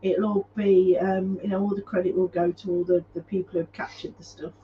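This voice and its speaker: A man with a high voice chats informally as co-host of a live stream. The sound is a little muffled, and there is faint noise in the recording. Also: flat delivery, fairly quick. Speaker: co-host of a paranormal live chat. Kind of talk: casual chat.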